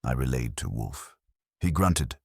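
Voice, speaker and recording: A man narrates a detective story in a very low, slightly husky voice. His delivery is dry and wry, with lively movement in pitch, as scripted first-person narration. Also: measured pace, dry recording. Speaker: audiobook narrator.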